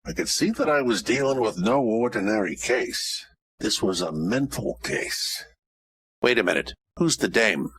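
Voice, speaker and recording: An actor playing a hard-boiled, wisecracking Roman private eye, wry and tough-talking, in an old-time radio detective parody. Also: male voice, lowish voice, expressive, a little slow, fairly bright tone, slightly rough, no echo, exaggerated voice. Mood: dry.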